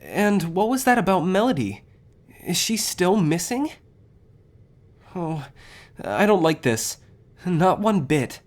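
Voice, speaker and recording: A man playing an anxious, expressive young academy student in an audio roleplay. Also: sits high, takes it slowly, long pauses, full character voice. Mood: surprised, worried.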